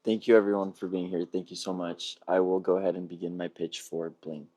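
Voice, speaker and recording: A man giving a prepared film pitch on a video call in a measured, earnest storytelling way. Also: level tone, warm tone, no room sound. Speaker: filmmaker pitching a feature film. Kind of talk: prepared pitch presentation, read narration.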